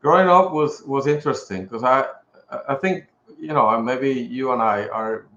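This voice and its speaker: A man's voice: mid-range voice, moderate pace, a bit bright. Middle aged puerto rican guy chatting as podcast guest, roomy echo, kinda dull audio. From Puerto Rico. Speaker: podcast guest, pharmaceutical executive. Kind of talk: casual interview conversation.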